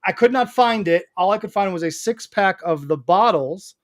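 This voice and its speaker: A man's voice: high-pitched, expressive, moderate pace. A man co-hosting a casual podcast, chatting in an easygoing way. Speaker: co-host.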